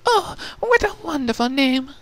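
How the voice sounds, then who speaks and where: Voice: high-pitched, sing-song, a little slow, voice pushed up, full character voice. A performer voicing a polite, refined and timid young female unicorn pony with a theatrical manner. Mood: sweet, polite.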